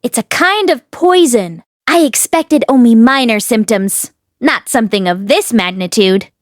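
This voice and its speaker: A stern male master of a fantasy audiobook, voiced by a woman. He is aloof and indifferent, delivering his words flatly and dismissively. The sound is dry and close. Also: on the high side, unhurried, no room sound. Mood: flat, composed.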